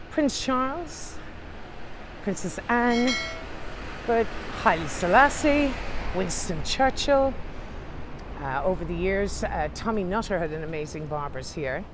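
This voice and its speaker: A woman strolls the streets as a tour guide, upbeat and chatty. Her voice sits a little low, picked up on a handheld camera. Faint traffic hums in the background. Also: expressive, unhurried. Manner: chatty and upbeat, addresses viewers as ladies and gents. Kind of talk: walking tour narration to camera. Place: outdoors on city streets.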